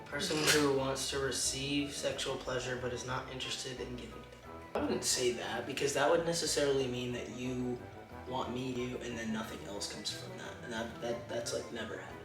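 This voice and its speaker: A young man with a raspy, scratchy voice, chatting casually and laid-back with his wife. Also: medium pitch, steady tone, normal speed. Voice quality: scratchy, raspy voice often taken for a woman's. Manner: laid-back, rambling, trails off softly. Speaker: vlogger, husband. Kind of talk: casual chat. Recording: roomy, distant mic with music.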